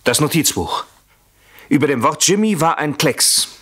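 An actor playing a scheming, jealous husband who explains himself insistently and articulately to a police inspector in a German radio play. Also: male voice, a little high, lively intonation, measured pace, fairly bright tone, breathy edge, full character voice. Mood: agitated insistence.

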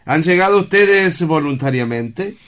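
An actor playing a courteous, wry male doctor who runs an institution, measured, formal and dryly probing. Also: fairly high pitch, animated, fairly bright tone. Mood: inquisitive. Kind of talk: theatrical stage-comedy acting.

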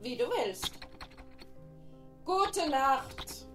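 An actress playing a resigned wife in a German radio drama. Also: female voice, sits high, sing-song, drawn-out words, fairly bright tone, soft background music, full character voice. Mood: weary, resigned. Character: resigned wife calling her husband to bed.

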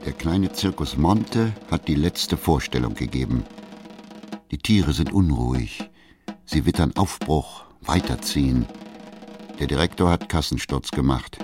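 A man narrating a radio drama in a grave, measured, ominous manner. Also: rumbling low, lively intonation. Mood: grave, foreboding. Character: grave, deliberate male narrator of a novel. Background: percussive music.

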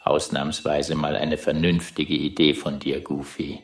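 A man playing Schmidt, a gruff, sardonic space traveler in an audio drama, with a dry delivery. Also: rumbling low, little pitch movement, a little slow. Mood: dry, scornful. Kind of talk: scripted audio drama acting. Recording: echoey room.

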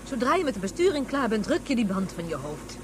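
A woman's voice: fairly high pitch, big pitch swings, fast. A woman playing a frank, level-headed space traveller who admonishes her companion matter-of-factly in a science-fiction radio drama. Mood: reproving, concerned. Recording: clear buzzing ship noise behind.

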